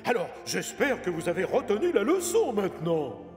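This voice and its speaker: A man's voice: sits high, big pitch swings, moderate pace, music in the background. A man playing a stern, easily outraged railway boss with a scolding manner in a children's cartoon dub, his voice echoing. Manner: scolding, indignant.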